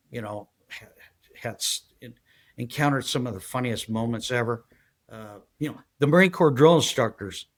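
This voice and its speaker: A man in his sixties from San Diego, a guest telling his life story in a halting, rambling way full of fillers. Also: mid-range voice. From San Diego, USA. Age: 60s. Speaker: guest, retired federal agent. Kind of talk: casual interview storytelling.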